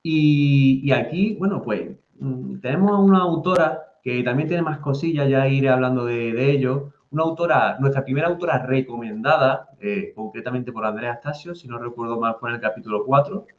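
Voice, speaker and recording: A man's voice: medium pitch, normal speed, hardly any pauses, reverberant, muffled recording. A man from Andalusia with an Andalusian Spanish accent, hosting a casual podcast interview and talking hesitantly, with many fillers and restarts. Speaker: podcast host.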